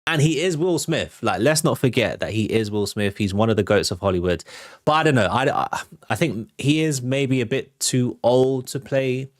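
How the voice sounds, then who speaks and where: A man's voice: mid-range voice, animated, normal speed, no echo, clean studio sound. A man hosting a live entertainment news show, chatting casually and talking straight to his viewers. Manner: conversational, addresses viewers directly. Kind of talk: casual livestream commentary.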